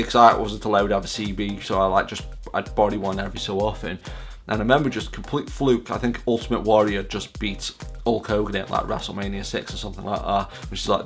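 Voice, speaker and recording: A British man talks in a loose, casual vlog style. His delivery rambles and has a self-deprecating streak. Music plays behind his voice. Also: on the low side. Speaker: vlog host.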